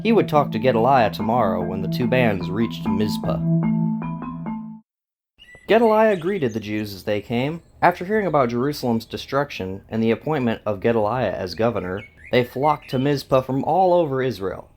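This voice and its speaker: A man's voice: medium pitch, animated, moderate pace, darkish tone. A man hosting and narrating a scripted story, with plucked guitar music behind him. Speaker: host and narrator.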